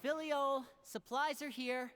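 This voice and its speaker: A woman playing a courteous, helpful elder sibling in a video game, polite and composed with gentle authority. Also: high voice, fairly even intonation, slow, a little hiss or hum. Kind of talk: video game character acting.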